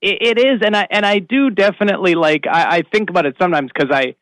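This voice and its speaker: A man's voice: high-pitched, fast talker, fairly bright tone, no echo. A man from Canada, a comedian, telling stories casually as an interview guest. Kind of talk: casual storytelling chat.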